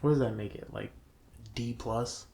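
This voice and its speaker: A man co-hosting a casual movie review, opinionated and emphatic, halting with pauses as he talks and reads from notes. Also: mid-range voice, gravelly, echoey room, crowd noise. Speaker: show co-host.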